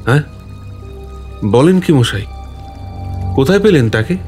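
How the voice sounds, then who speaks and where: A man's voice: medium pitch, animated, a little mellow, soft background music, close to an everyday voice. An actor playing a mysterious, solemn village man in an audio ghost story, with a cold, rustic way of talking. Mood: startled. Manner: slow, cold-toned, rustic turns of phrase. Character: mysterious pale village man searching at night, eerie and solemn. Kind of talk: audio horror story acting.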